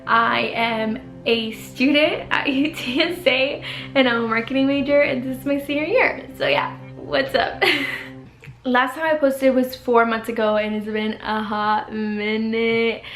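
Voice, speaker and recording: A woman's voice: on the high side, fairly even intonation, measured pace, fairly bright tone, roomy sound, soft background music. A young woman, chatting casually to camera from her bedroom while getting over the flu, giggly and full of "like". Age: 20s. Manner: chatty, giggly, uses "like" and "y'all". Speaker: vlogger, college student.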